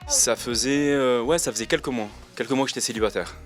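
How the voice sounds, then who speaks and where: A man, answering interview questions in a relaxed, slangy way and pausing often with fillers. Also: medium pitch, soft background music. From Marseille, France. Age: 20s. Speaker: reality TV contestant interviewed.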